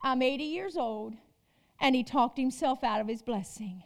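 Very high-voiced woman preaching a sermon. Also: normal speed, gravelly. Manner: emphatic, repeats phrases, rhetorical questions to congregation.

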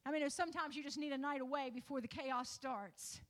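A woman pastor preaching a sermon in a repetitive, rising cadence that builds toward shouts. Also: high voice, fairly even intonation, fairly quick.

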